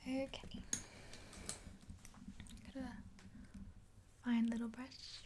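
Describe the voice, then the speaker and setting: A woman in her twenties doing a gentle ASMR roleplay in a soft, unhurried, breathy whisper, close to the mic. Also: fairly high pitch, level tone, background noise. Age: 20s. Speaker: ASMR video creator. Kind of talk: ASMR roleplay, whispered makeover with rambling chat. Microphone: close to the mic, facing camera.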